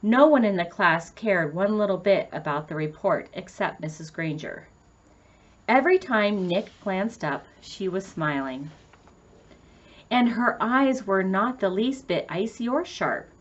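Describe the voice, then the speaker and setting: Woman reading a children's book, lively pitch. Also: unhurried, highs cut off. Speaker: read-aloud narrator. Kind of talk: children's book read-aloud, scripted narration.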